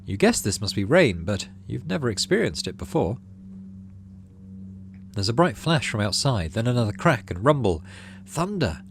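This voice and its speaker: A man's voice: on the low side, very animated, moderate pace, gravelly, a little hiss or hum. A man hosting a gaming playthrough, reading story text aloud and adding casual commentary. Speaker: gaming channel host.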